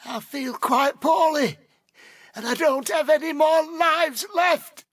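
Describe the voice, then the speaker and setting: Woman voicing a high, haughty ghostly tomcat. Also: slow, dry recording, studio quality. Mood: pained, earnest. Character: fiery ghostly demon cat, male, wounded, haughty and impatient.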